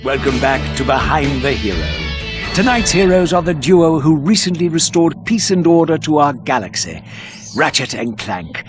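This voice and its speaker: A man playing a polished, showy television talk show host in a video game, with smooth presenter delivery. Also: on the high side, animated, normal speed, close to an everyday voice. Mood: showy, welcoming. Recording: music behind the voice.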